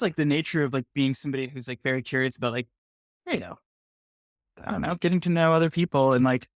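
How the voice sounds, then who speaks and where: A man's voice: medium pitch, dry recording, clean studio sound. Rambling young man, lots of "like". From Colombia. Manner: rambling, heavy use of "like". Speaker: guest, industrial designer. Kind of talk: casual video chat.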